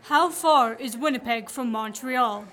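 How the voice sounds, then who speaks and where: A young woman playing a gallant, commanding Canadian gentleman in a radio play. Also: fairly high pitch, a little slow, a faint crowd, slight background noise. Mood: polite, insistent. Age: young adult.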